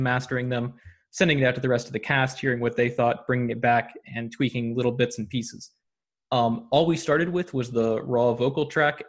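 A man talking as an interview guest, enthusiastic and full of filler words like um and uh. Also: medium pitch. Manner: enthusiastic, many ums and uhs. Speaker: podcast guest, audio drama producer. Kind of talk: casual interview talk.

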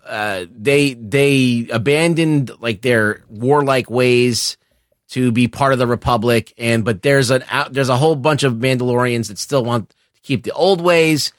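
A man with a mid-pitched voice in a very dry recording. He explains things casually as a co-host, opinionated and blunt, wandering a bit as he goes. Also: no room sound. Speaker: podcast co-host. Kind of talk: casual explanatory pop culture chat.

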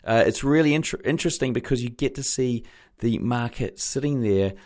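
A New man hosting a podcast, chatty and rambling with many fillers, his voice a little croaky from a sore throat. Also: mid-range voice, a little fast, a little mellow. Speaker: podcast host. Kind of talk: casual podcast intro.

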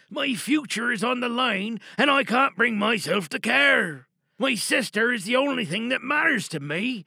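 A man voicing a desperate high school senior. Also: gravelly, pushed and bright, dry recording, clean studio sound, exaggerated voice. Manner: pleading, despairing. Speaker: monologue reader. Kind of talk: dramatic audio monologue.

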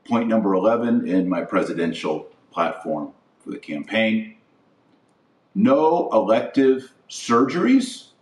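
Low-voiced American man in an echoey room. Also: big pitch swings. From United States. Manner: emphatic, deliberate phrasing with stressed pauses. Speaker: podcast host. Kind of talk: political platform monologue, speech-like. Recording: roomy echo, distant from mic.

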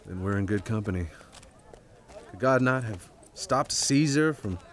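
Devoted young husband, earnest, good-humored, expressive pitch, audio drama. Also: male voice, measured pace, slight background noise. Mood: wry, musing.

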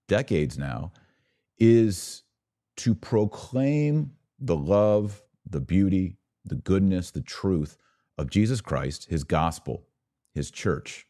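A man hosting a religious podcast, speaking in earnest exhortation with deliberate repetition, like a preacher addressing his audience. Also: low-pitched, mellow.

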